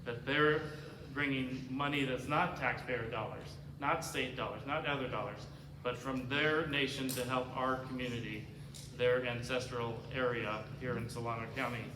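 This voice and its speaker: A man's voice: mid-range voice, steady tone, moderate pace. Earnest man in an echoing hall. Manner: earnest, with some fillers and self-corrections. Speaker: candidate at a forum. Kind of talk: prepared forum remarks. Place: large community cultural center hall. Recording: roomy hall reverb with background noise.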